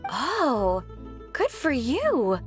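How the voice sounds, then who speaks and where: An actress playing a brisk, sardonic young woman operative in a video game, with a snippy, sarcastic manner. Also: sits high, huge pitch swings, soft background music, full character voice. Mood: brisk, dismissive.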